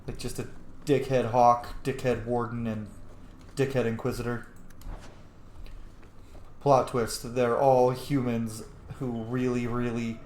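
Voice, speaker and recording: A gamer giving casual, playful commentary, jokey and sweary, prone to excited exclamations. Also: medium pitch. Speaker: gamer, let's play host. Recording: roomy mic, faint noise, game ambience.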